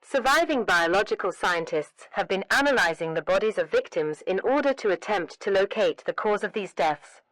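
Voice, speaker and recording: A woman's voice: medium pitch, fairly bright tone, dry recording, low-quality recording. Formal woman reading an emergency broadcast. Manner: formal, measured, solemn. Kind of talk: scripted emergency alert announcement.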